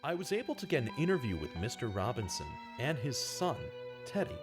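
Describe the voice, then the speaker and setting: A man's voice: mid-range voice, very animated, a little fast, natural voice. A man playing an earnest small-town journalist, narrating dramatically and confidingly in a vintage-style radio drama. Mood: grave, confiding. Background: prominent instrumental music.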